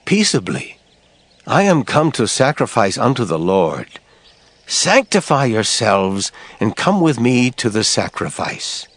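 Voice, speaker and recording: A man's voice: medium pitch, big pitch swings, unhurried, fairly bright tone, full character voice. A man playing a grave, authoritative Hebrew prophet in a scripture audio drama, declaiming his lines with emphasis. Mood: solemn.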